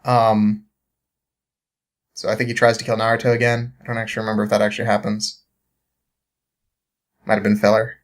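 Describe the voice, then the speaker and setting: A man's voice: fairly low pitch, monotone, brisk, long pauses. A young man recounting a story in casual chat as a podcast guest, informal and prone to chuckling.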